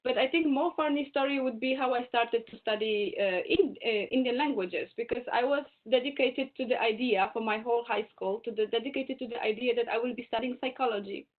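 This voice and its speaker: A woman's voice: a little high, fairly quick, few pauses. Lady guest speaker, chatty, keeps restarting, tinny call audio. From Poland. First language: German. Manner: chatty, self-correcting, laughs easily. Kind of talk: webinar talk. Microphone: video call.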